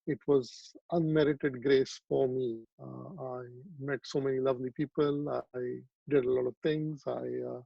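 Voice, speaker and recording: A man's voice: mid-range voice, vocal fry, no echo, highs cut off. A man, telling his story reflectively in an interview over a video call, with many ums and restarts. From Pakistan. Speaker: psychiatrist guest.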